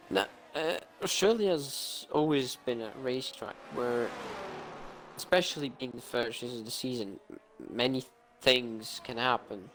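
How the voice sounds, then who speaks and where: A man giving casual live co-commentary on a sim race, often hesitant as he searches for words. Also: mid-range voice. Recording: faintly noisy stream microphone.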